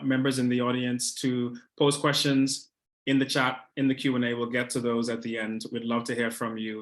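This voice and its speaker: A man moderating an online panel discussion, speaking in a measured way with frequent hesitations. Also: medium pitch, a bit bright. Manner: measured, hesitant with many fillers.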